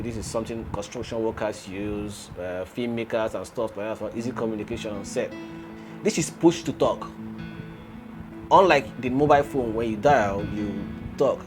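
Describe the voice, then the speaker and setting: A man hosting a casual gadget review, explaining and demonstrating as he goes. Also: medium pitch. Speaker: gadget reviewer and video host. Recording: music playing behind the voice.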